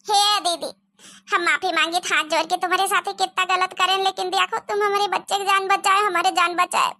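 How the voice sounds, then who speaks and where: A woman's voice: very high voice, fairly even intonation, fairly quick, pushed and bright. A woman voicing an emotional young village daughter-in-law in a cartoon comedy, speaking with a rustic Awadhi accent. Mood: soothing, apologetic. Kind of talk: rural cartoon comedy dialogue.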